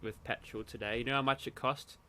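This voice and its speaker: A man's voice: medium pitch, fairly even intonation, a little fast, sharp tone. A man with a mid-range voice trades dry jokes over a gaming voice chat. His delivery is casual and offhand. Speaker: gamer, channel host.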